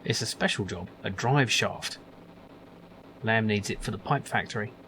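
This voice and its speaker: A man voicing a gruff, grumpy older male factory worker, grumbling and dismissive. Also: on the low side, moderate pace, fairly bright tone, slight background noise, close to an everyday voice, poor audio. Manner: grumbling, dismissive, calls others son.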